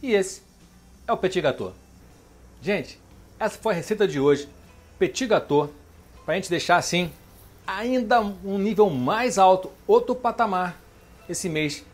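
A man's voice: high-pitched, expressive, unhurried, pauses often. Chatty middle-aged man cooking at home. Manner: chatty, keeps asking "beleza?" and "tá?". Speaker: cooking show host. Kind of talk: casual home cooking demonstration. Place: home kitchen, indoors.

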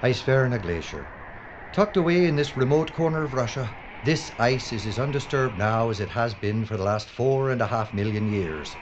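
A man playing a flippant, complaining documentary presenter in an audio drama, animated in manner. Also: mid-range voice, normal speed, lightly acted. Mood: presenting. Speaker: actor in radio drama. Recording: muffled, noisy ambience.

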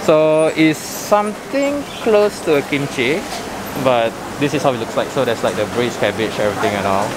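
A man's voice: mid-range voice, animated, normal speed. A young Singaporean man with a Singaporean English accent, casually guiding viewers through a busy wet market, chatty and full of fillers. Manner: chatty, explains with fillers and "lah". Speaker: local guide. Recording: loud market noise behind the voice.

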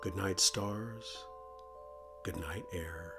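A man's voice: deep voice, very slow, creaky, music in the background. A middle-aged man who hosts a children's show, presenting and reading stories aloud for kids.

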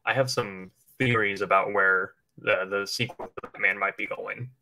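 A man with a fairly low voice and a bright, forward edge. He talks like a livestream co-host in casual, rambling conversation, informal and loose in his wording. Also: fairly even intonation, moderate pace, creaky, low-quality recording. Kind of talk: casual chat speculating about films.

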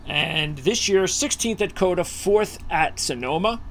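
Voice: medium pitch, lively intonation, unhurried. A host leading a casual racing betting preview over a video call, thinking aloud with fillers while scanning a list.

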